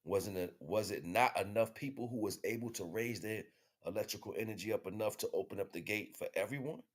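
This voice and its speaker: A man with an American accent and a notably low-pitched voice hosts a casual livestream monologue on spiritual matters. His delivery is conversational and slangy, with swearing mixed in freely. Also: brisk. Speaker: livestream host.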